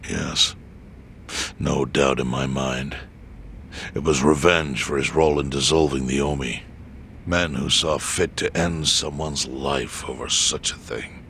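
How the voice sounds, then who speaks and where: An actor playing a grave, weary elderly yakuza chairman in a dramatic video game, speaking in a measured, solemn way with a gravelly voice. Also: rumbling low, steady tone, fairly bright tone, a little hiss or hum, exaggerated voice.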